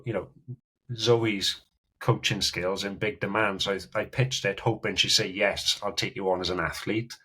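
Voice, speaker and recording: A man's voice: lowish voice, bright, forceful tone, husky, low-quality recording. A middle-aged man with a Welsh accent, a podcast guest telling his story in loose, chatty talk full of "you know"s. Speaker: guest endurance athlete. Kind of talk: casual podcast chat.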